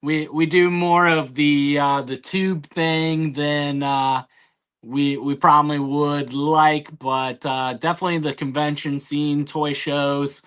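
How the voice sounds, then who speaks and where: A man answering as a guest in casual chat, hesitant and pausing often. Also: fairly high pitch, level tone, fairly bright tone, smooth. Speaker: interview guest.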